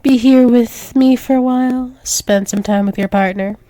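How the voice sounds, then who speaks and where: A woman's voice: a little high, steady tone, normal speed, warm tone, no echo, close to an everyday voice. A woman playing a dominant, doting female alpha werewolf demon in an intimate ASMR roleplay, coaxing and soothing, often dropping into whispers. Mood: soothing, tender.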